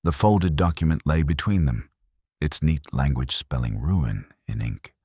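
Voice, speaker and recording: Super deep raspy guy reading a western love story, slow and solemn. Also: animated, darkish tone, no room sound, clean studio sound. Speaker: narrator. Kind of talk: scripted story narration.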